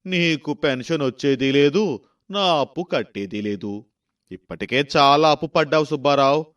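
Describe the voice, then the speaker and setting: A man voicing a gruff, sceptical male village moneylender in a story, needling and grudging. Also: fairly high pitch, normal speed, fairly bright tone, lightly acted. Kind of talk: storybook voice acting.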